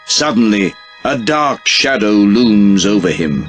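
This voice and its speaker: A man's voice: lowish voice, animated, slow. A man reading scripted, dramatic narration for a game cutscene. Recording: music behind the voice.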